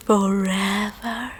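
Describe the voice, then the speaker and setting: A woman voicing a heartbroken, deranged young woman in a spooky story, cooing with obsessive, menacing love and breathy giggles. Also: a little high, a bit bright, pitched above the performer's own voice, exaggerated voice.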